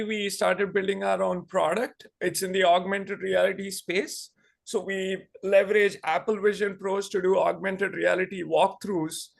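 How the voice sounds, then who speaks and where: A man's voice: high voice, creaky. A man from India introducing his tech startup in a brief, matter-of-fact way. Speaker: tech founder. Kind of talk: self-introduction. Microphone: video call.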